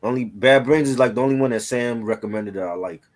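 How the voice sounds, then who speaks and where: A man's voice: mid-range voice, quick pace. A man co-hosting a casual chat, talking in an informal, conversational way peppered with "you know". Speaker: co-host.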